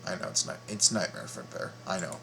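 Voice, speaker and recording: A man giving casual, excitable fan commentary in a halting, repetitive way, full of exclamations. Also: deep voice, vocal fry, a little hiss or hum. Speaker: YouTube reactor and fan commentator.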